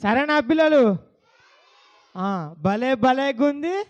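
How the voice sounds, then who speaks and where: A man's voice: very high voice, lively intonation, slow pace, lo-fi sound. A man hosting a kids' bible puppet show, asking the children questions over and over.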